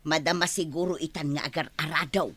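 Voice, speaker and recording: An actress playing an excitable, fretful village mother in an Ilocano comedy radio drama, with an Ilocano accent. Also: low voice, fairly quick, breathy edge, no room sound. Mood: excited. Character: excitable village mother fretting over her son.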